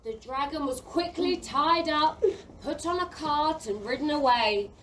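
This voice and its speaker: A woman's voice: high voice, animated, a little slow, recorded from a distance, very noisy. A woman acting as the storybook narrator of a children's fairy tale play. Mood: storytelling.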